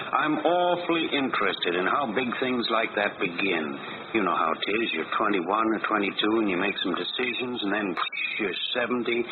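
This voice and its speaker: A thin, noisy old radio recording carries a man playing a reflective stage-manager narrator. His fairly low voice talks to listeners in a plain, conversational, philosophical way, in 1940s drama style. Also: phone-quality sound, lightly acted.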